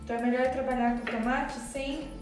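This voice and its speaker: A woman's voice: high voice, steady tone, fast, very echoey, recorded from a distance, loud music behind the voice. A Brazilian woman hosting a home cooking tutorial, explaining the recipe in a chatty way with lots of filler tags. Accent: Brazilian Portuguese. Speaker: cooking show host. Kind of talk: bilingual cooking tutorial chat.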